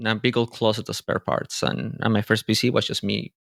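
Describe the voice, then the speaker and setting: Man, forties, from Venezuela, low voice, interview guest, restarts a lot. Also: level tone, brisk, gravelly, a bit bright, no echo, clean studio sound. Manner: thoughtful, rambling with many restarts and fillers. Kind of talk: casual interview chat.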